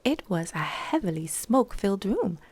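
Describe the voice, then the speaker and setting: A woman's voice: mid-range voice, normal speed, slightly rough, no echo, pitched above the performer's own voice. Woman doing a bubbly teasing young woman. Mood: playful. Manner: teasing, excitable, coaxing.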